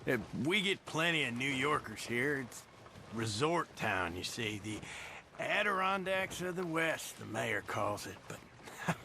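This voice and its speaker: A man playing a chatty, grumbling townsman in a video game, with a gravelly voice. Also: medium pitch, expressive, measured pace, sharp tone, a little hiss or hum, full character voice. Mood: helpful, wry. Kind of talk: video game character acting.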